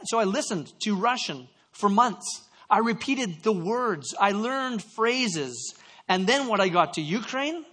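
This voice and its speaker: A man's voice: sits high, a bit bright. A man from Canada preaching a sermon, telling stories with self-deprecating asides and stumbling restarts. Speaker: pastor.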